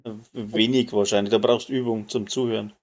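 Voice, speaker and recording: A man from Bavaria with a Bavarian accent, chatting casually and colloquially as he streams a video game, softening his dialect toward standard German. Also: on the low side, fairly even intonation, fairly quick. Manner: colloquial, dialect-tinged, mild swearing. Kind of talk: casual chat while gaming.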